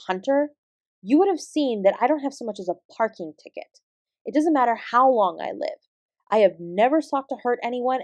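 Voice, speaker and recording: High, lively woman dance teacher, voiced by an actress. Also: dry recording, lightly acted. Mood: guarded, defiant. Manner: encouraging, instructive, addressing a class. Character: female apsara dance teacher, warm, encouraging, defensively composed. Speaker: dance instructor. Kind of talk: roleplay audio drama acting.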